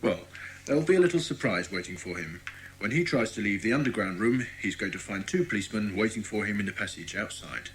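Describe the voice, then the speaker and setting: An actor playing a friendly, obliging British police inspector in a children's audio drama, courteous and explanatory. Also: male voice, on the low side, expressive, fast talker, slight background noise, natural voice, poor audio. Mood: reassuring.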